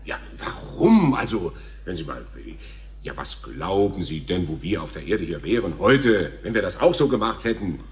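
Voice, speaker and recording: An actor playing a stammering, self-important and patronizing television talk show host in a radio play. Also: male voice, sits low, very animated, normal speed, slight background noise. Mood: condescending. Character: flustered, smug male TV talk show host. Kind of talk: German radio play acting.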